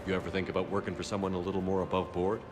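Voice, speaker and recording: An actor playing a confident military commander in a video game, speaking in a terse, dry, steady way. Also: male voice, low voice, very fast, natural voice. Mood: cool, probing. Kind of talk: video game cutscene dialogue. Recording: game music or ambience behind voice.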